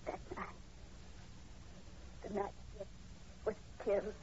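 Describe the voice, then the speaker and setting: A woman's voice: mid-range voice, level tone, mellow, slight background noise, natural voice. Woman playing a terrified young woman, muffled old radio. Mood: haunted dread. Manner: breathless, halting, pleading. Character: terrified young woman, fearful of her brother. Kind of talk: 1940s radio horror acting.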